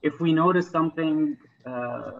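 A man's voice: a little high, normal speed, vocal fry, phone-quality sound. A man from Egypt speaking casually and earnestly as an interview guest, thinking aloud as he talks. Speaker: interview guest, climber and environmental advocate.

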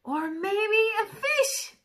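A woman's voice: very high voice, animated, drawn-out words, a bit bright. A young woman reading a picture book aloud to small children in an exaggerated, playful way, with sound effects. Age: young adult. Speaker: children's storyteller.

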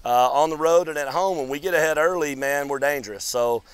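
Smooth-voiced man coaching baseball. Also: a little high, fairly quick. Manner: relaxed, rambling with many fillers and restarts. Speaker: college baseball head coach. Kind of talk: postgame interview. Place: outdoors on a baseball field. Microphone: lapel mic.

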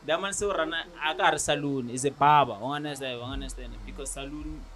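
A man's voice: fairly high pitch, fast talker, crowd noise. A South African man in his thirties hosting a casual barbershop chat, switching between English and Tsonga and often asking "you understand?". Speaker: podcast host. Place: barbershop studio, indoors.

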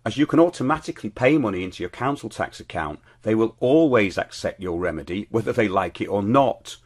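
A middle-aged British man presenting his show as host, reading scripted commentary in a measured, declarative way. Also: on the low side, animated, slightly rough.

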